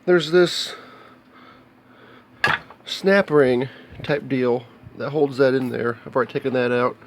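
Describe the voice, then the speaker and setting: An American man talking casually and off the cuff as he shows electronics, explaining in a halting, plain-spoken way. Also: mid-range voice, background noise. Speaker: hobbyist video maker showing electronics. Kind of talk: casual unscripted show-and-tell. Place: indoors, cluttered home workshop.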